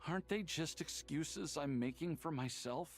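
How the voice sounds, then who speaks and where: A woman's voice: sits low, moderate pace. A woman voicing an anguished, self-doubting young character in a video game, hesitant and earnest.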